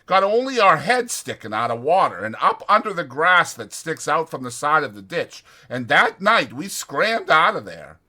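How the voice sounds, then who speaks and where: A man voicing an exasperated but protective ranch hand in a dramatized audiobook, blunt and scolding, with a rough folksy drawl. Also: fairly high pitch, sing-song, moderate pace, few pauses, bright, forceful tone, pitched above the performer's own voice, heavily acted. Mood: agitated storytelling. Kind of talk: dramatized audiobook character acting.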